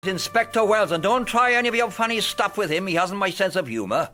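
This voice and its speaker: British copper, high-pitched for a man, stern and exasperated, old detective radio play. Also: animated, brisk, sharp tone. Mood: stern warning. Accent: British English. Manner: stern, emphatic, urgent. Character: exasperated, no-nonsense male police detective, gruffly loyal friend. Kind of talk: vintage detective audio drama acting.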